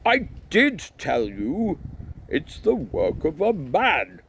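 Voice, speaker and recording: A man's voice: medium pitch, sing-song, takes it slowly, gravelly, a little hiss or hum, pitched above the performer's own voice, full character voice. A man voicing a beleaguered senior priest in a storybook, pleading for order in a declamatory, exasperated manner. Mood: insistent.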